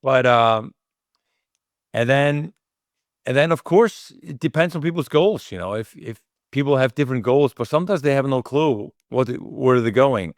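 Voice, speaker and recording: A man's voice: mid-range voice, normal speed, dry recording, clean studio sound. A man from Iceland with an Icelandic accent, answering interview questions in a casual, rambling way and often voicing quoted remarks. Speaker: interview guest, chiropractic clinic owner.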